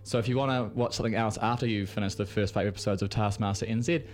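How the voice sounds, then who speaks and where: A man's voice: on the low side, fairly even intonation, fast talker, darkish tone. A man from New Zealand, a guest reviewer in a radio studio, chatting enthusiastically with lots of filler words. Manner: enthusiastic and rambling, many "like" fillers. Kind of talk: casual radio chat.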